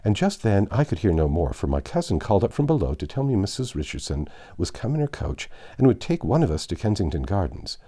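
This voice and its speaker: Man voicing an animated, gossipy young Regency woman. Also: on the low side, brisk, hardly any pauses. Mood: confiding, excited. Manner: chatty, breathless run-on gossip with exclamations.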